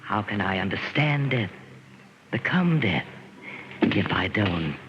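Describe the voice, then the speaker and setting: Brooding volatile sculptor, wide dramatic swings, halting repetitive speech, old radio horror drama, muffled recording. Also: male voice, fairly low pitch, animated, noisy background. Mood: fanatical. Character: obsessed, volatile male sculptor fascinated by death. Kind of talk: 1940s radio horror acting.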